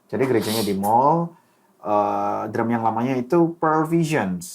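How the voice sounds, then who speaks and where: A man, hosting a casual chat and telling lively stories with English words mixed in. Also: medium pitch, measured pace, slight background noise. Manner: animated storyteller, mixes English into talk.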